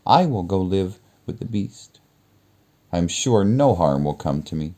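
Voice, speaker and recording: A gentle young fairy-tale heroine, played by a male storybook reader, speaks earnestly. The pitch is lifted well above the reader's deep natural register, giving a light, dutiful girlish tone. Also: big pitch swings, a little slow, heavily acted. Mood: soft reassurance. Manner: soft, earnest.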